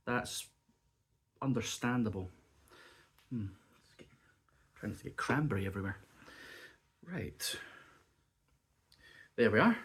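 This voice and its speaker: A man chatting casually as he taste-tests cheese and crackers at home, still sniffly and coughing from a bug. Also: mid-range voice. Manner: chatty, muses aloud while tasting. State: lingering cough, a bit sniffly. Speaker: food reviewer. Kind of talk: casual food review vlog. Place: indoors at home.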